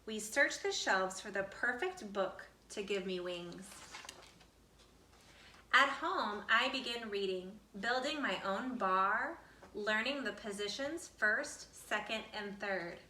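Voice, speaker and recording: Echoey, bright-voiced woman reading a children's story. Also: medium pitch, animated, slight background noise. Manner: deliberate, dramatic phrasing for children. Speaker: storybook reader. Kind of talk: expressive children's picture book read-aloud, with asides explaining to listeners. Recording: roomy echo, distant from the mic.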